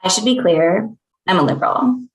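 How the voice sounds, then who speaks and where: A woman's voice: medium pitch, animated, normal speed, natural voice. A woman playing a flirty, inquisitive researcher in a comedy table read, playful and probing in her questions. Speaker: actor in a screenplay table read. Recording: echoey room sound.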